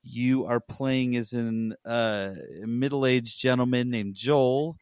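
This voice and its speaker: Man, podcast co-host, casual rambling reviewer, mid-range pitch, dry recording. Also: fairly even intonation, slow, darkish tone, smooth, no room sound.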